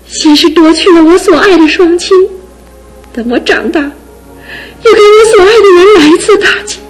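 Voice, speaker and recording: An actress in a radio drama playing a devoted young fiancée who speaks earnestly and pleadingly, with steady resolve. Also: female voice, extremely high pitch, poor audio. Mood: grieving. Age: young adult. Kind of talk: broadcast radio drama acting.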